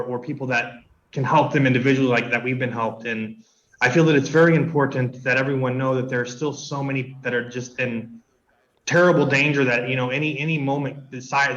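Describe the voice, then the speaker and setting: A male radio guest speaking urgently and emotionally, in halting phrases. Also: mid-range voice, a bit bright, lo-fi sound. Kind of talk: radio interview.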